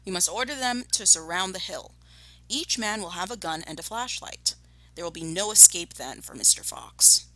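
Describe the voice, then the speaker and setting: A smug storybook farmer and schemer, read by a woman. The voice is lowish and crisp-edged bright, handing out orders with bossy self-assurance. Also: lively intonation, moderate pace, dry recording, poor audio. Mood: commanding.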